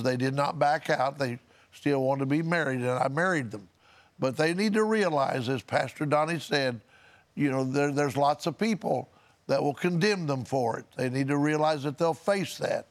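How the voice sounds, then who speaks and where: A man speaking as a pastor on a religious panel, telling anecdotes in a halting way and repeating words. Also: mid-range voice.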